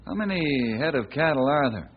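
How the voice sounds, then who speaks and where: An actor playing a calm, practical ranger in an old radio western, plain-spoken and measured. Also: male voice, fairly high pitch, husky. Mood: thoughtful. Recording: dull, noisy old radio recording.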